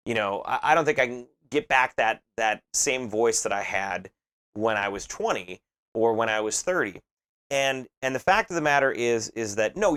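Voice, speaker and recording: A man's voice: mid-range voice, fairly bright tone. Brief: man, late 30s, metal singer being interviewed, earnest, restarts his sentences. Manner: earnest, repeats words while thinking aloud. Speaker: metal band lead singer. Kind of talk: conversational interview answers.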